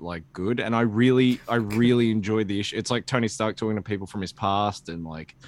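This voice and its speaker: A man co-hosting a podcast in casual chat, rambling in an animated, opinionated and sweary way. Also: lowish voice. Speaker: comic book podcast co-host.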